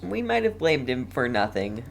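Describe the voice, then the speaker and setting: A young man giving casual live game commentary as a streamer, halting and often repeating his phrases. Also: mid-range voice, big pitch swings, creaky, slight background noise, rough recording. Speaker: gaming streamer.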